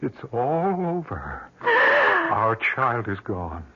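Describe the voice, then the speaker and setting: A man's voice: mid-range voice, wildly swooping pitch, very slow, lo-fi sound, very noisy, exaggerated voice. A man playing an anxious, grieving husband, earnest and pleading, in old-time radio drama style on a vintage recording. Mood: sorrowful.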